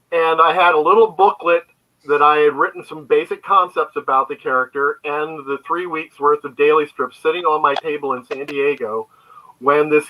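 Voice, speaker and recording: Very bright-voiced man explaining his work. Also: fairly high pitch, rough recording. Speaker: guest, comic book creator. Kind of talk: conversational interview answers, storytelling. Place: remote online video call.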